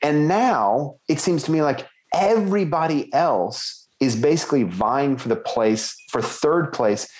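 A man co-hosting a casual book-club podcast, thinking aloud and hedging as he weighs theories with lively emphasis. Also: fairly high pitch, a little slow, hardly any pauses. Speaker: podcast co-host.

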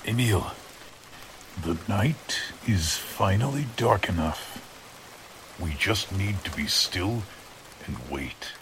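A man's voice: deep voice, animated, takes it slowly, pauses often. A man playing a sinister scientist villain lying in wait, in a 1940s-style radio drama. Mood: sinister, tense. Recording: rain noise over voice.

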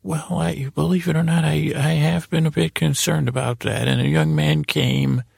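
Male performer as elderly lady, folksy, hesitant, kindly but firm, husky, crackly voice, comic bedtime storytelling. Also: a little high, fairly even intonation, a little mellow, no room sound, clean studio sound, voice pushed up, exaggerated voice. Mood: hesitant, thoughtful. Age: older. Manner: halting, folksy, addresses listener as young man.

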